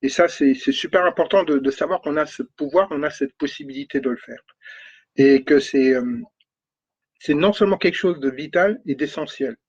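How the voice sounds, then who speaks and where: Husky man, runny nose, repeating himself. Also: a little high. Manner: repeats words, thinks aloud, stresses points with "d'accord?". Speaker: kinesiologist and energy coach. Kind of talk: spoken talk on spirituality. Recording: dull lo-fi recording.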